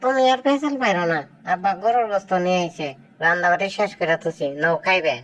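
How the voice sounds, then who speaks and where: A woman playing a sharp-tongued village woman in a comedy cartoon, curt and nagging, with a Sirajganj Bangladeshi accent. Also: fairly low pitch, animated, fast.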